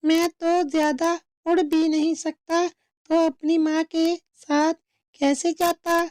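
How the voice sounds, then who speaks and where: A woman's voice: extremely high pitch, level tone, slow, darkish tone, no echo, clean studio sound. A woman voicing a small, earnest, obedient young male bird chick in a cartoon story. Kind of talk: slow cartoon story dialogue.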